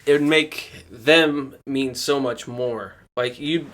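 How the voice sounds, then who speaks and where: A man with a medium-pitched voice talks on a podcast panel. He is informal and opinionated, with a halting delivery as he chats about wrestling. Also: fairly bright tone. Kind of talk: casual chat about pro wrestling.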